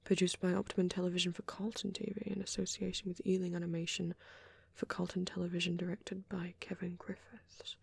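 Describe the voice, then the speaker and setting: A man doing a soft, often whispered ASMR roleplay as a shy, gentle young vampire boyfriend, rambling hesitantly in a breathy, husky voice. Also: sits high, completely flat delivery, fairly quick, hardly any pauses, no room sound.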